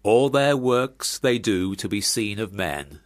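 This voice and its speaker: A man's voice: lowish voice, expressive, slow. A declamatory, pious Victorian father. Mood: proud, solemn. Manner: declamatory, formal, sermonising. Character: proud, pious Victorian clergyman father, exclamatory and scripture-quoting. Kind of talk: radio drama acting.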